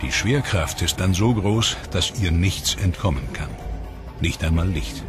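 A man narrating a science documentary in a measured, ominous scripted voice-over. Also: sits low, lively intonation. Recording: studio voice-over over loud music. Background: music.

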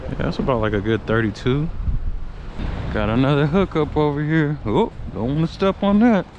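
A man's voice: a little high, expressive, moderate pace, dull audio, noisy background. A man vlogging casually as he fishes outdoors, talking in a colloquial, exclamatory way into a worn camera. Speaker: fishing vlogger. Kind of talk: casual narration and chat while fishing. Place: open beach at night, outdoors. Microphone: worn action camera.

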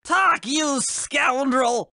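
A wordy nerd turtle for a game, bright edgy voice, takes his time. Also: extremely high pitch, extremely slow, vocal fry, dry recording, clean studio sound, exaggerated voice. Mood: interrogating. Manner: pedantic, wordy, theatrical. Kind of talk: video game voice acting.

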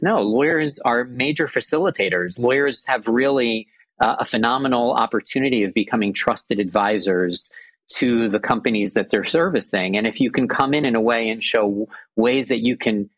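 A man's voice: medium pitch, no echo. A man in his forties with an American accent, a lawyer speaking as a guest on a video call interview, earnest and repeating phrases for emphasis. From United States. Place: indoors, small room.

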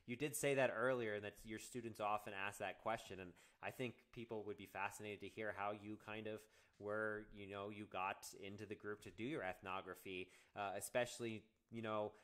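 A man's voice: on the low side, fairly bright tone. A man hosting an interview on a video call, asking questions in an easygoing, hesitant way. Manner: easygoing, hesitant phrasing with fillers. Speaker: podcast host. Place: home room.

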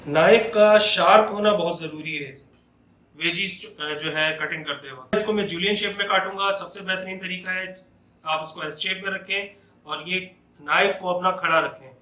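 A man with a high, bright voice walks through a recipe step by step, patiently explaining each cut. He talks while chopping in a home kitchen, and the room sounds echoey. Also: moderate pace. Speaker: home cooking channel host. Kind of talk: step-by-step cooking demonstration.

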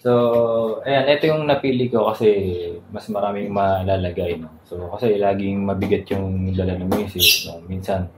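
Low-voiced Filipino man, echoey noisy recording. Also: level tone, moderate pace. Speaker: motovlogger and channel host. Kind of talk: casual vlog product rundown. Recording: roomy echo, clear background noise.

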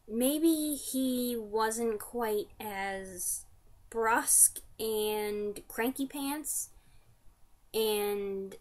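Young woman in her 20s, kinda high voice, chatty gushing fan talk to camera. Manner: enthusiastic, gushing fan talk with informal slang. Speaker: vlogger reviewing radio drama. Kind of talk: casual chat to camera. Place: small furnished room indoors.

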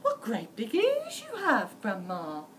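A woman acts a cheeky little fairy-tale girl, full of exclamation. The voice is lifted high and swoops around. It is recorded a little way from the microphone. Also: slow, recorded from a distance, a little hiss or hum, exaggerated voice. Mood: wide-eyed wonder. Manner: exclaiming, animated. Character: cheeky, wide-eyed little girl in red.

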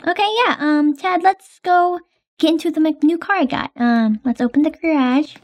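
A woman's voice: sits high, moderate pace, a little mellow. A young woman hosting and narrating a game roleplay in a casual, hesitant way with many ums.

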